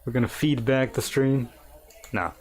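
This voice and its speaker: A man's voice: medium pitch, unhurried. Offhand young man hosting a stream. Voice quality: relaxed, offhand banter. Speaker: livestream host. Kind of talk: casual stream chat.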